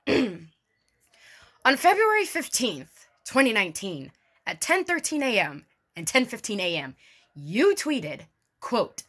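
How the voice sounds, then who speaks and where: A woman's voice: a little high, very animated, slow, long pauses, a bit bright. A woman doing a mock-formal dramatic reading with casual, sarcastic, sweary asides in a theatrical manner. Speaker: online video creator doing a dramatic reading.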